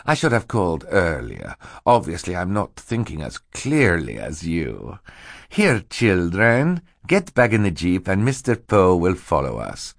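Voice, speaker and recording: A man's voice: fairly low pitch, big pitch swings, a little slow, a bit bright, no room sound, clean studio sound, pitched above the performer's own voice, full character voice. A man narrating an audiobook, voicing a scheming villain who poses as a meek, fluttery, apologetic assistant. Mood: smooth, oily. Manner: hissing menace switching to fluttery, falsely apologetic politeness. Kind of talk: audiobook character acting.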